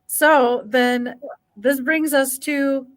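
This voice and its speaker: A woman hosting a casual interview, speaking in an informal, encouraging and somewhat halting way. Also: sits high, fairly even intonation. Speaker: podcast host.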